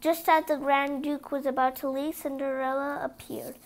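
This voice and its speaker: A woman's voice: sits high, flat delivery, normal speed. A woman reading a children's story aloud, stumbling now and then over the words. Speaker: storybook reader.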